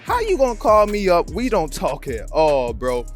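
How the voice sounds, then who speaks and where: A man's voice: high-pitched, huge pitch swings, normal speed. A man with an American accent giving casual, slangy commentary as the host of a channel. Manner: slangy, conversational. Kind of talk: casual commentary on a video clip. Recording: music behind the voice. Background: background music.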